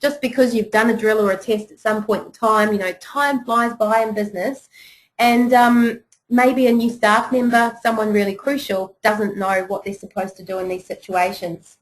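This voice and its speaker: A woman with a New Zealand accent talks through a webinar on business preparedness. Her delivery is conversational and encouraging, dotted with small fillers as she goes, and her voice sits at an ordinary middle pitch. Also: fairly even intonation, moderate pace, rough recording. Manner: chatty and encouraging, many "you know" and "um" fillers. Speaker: webinar presenter.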